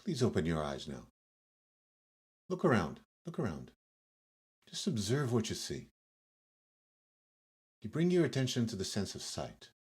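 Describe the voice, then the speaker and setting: A man leading a guided meditation as the guide, speaking in measured short phrases with pauses between gentle instructions. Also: on the low side, wildly swooping pitch, breathy edge. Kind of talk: guided yoga nidra meditation.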